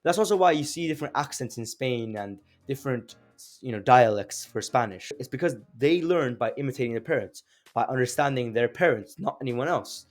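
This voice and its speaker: A young man whose first language is Azeri talks through a language lesson in an easy, conversational way, dropping in fillers and asking rhetorical questions. His voice sits at an ordinary middle pitch. Faint music plays underneath. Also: animated, brisk. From Azerbaijan. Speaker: language teacher. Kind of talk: casual explanatory video lesson.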